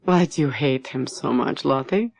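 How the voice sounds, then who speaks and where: A woman's voice: a bass voice, normal speed, creaky, a little mellow, heavily acted. A woman narrator voicing a romantic, playful older man, a hospital director, in an audiobook story. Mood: fond, placating.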